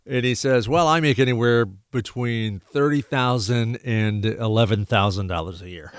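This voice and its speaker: A man's voice: mid-range voice, takes it slowly, no echo, studio quality. A man hosting a public affairs radio talk show, chatting casually with a stuffy nose and many fillers and restarts. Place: radio studio.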